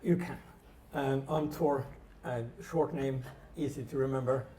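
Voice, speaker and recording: Norwegian guy on a tech panel, soft mellow voice, roomy echo, informal talk. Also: medium pitch, fairly even intonation, a little slow. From Norway. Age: middle-aged. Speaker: technology panelist. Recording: echoey room, distant mic, background noise.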